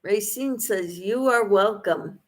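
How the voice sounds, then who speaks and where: A woman's voice: medium pitch, slow pace. A woman hosting a casual livestream, reading out viewers' comments and replying to them in an encouraging way. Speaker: livestream host.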